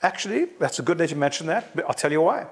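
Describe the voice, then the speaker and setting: A man's voice: on the high side, lively intonation, fast, husky. A man from South Africa, hosting an interview show, asking probing questions and talking persuasively. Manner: probing, persuasive, talks in long runs. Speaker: talk show host. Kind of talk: casual interview.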